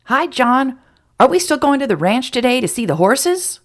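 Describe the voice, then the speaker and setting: A woman voicing an excitable young boy who whines and begs, high and pleading. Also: normal speed. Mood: eager whiny.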